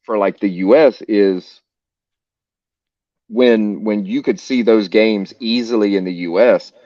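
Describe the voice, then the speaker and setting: A man's voice: on the low side, slow pace, dark tone, no echo, muffled recording. A man hosting a podcast in casual chat, loose and profane with lots of filler words. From United States. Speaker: podcast host.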